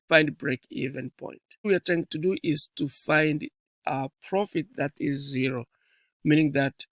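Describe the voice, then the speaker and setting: A man's voice: a little high, no room sound. A man instructing in a spreadsheet tutorial, explaining things step by step into a close mic.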